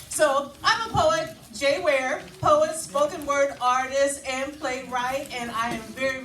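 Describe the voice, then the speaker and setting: A woman spoken word poet with a loud voice performing live, rhythmic and emphatic, slowing down to lean on key phrases. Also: high-pitched, a little hiss or hum. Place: event hall at a labor office. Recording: echoey hall, voice heard from a distance.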